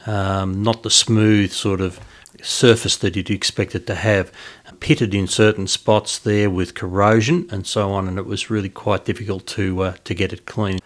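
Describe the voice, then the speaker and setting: Low-voiced Australian man, measured explainer. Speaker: hobbyist presenter. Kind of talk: informal narrated restoration walkthrough.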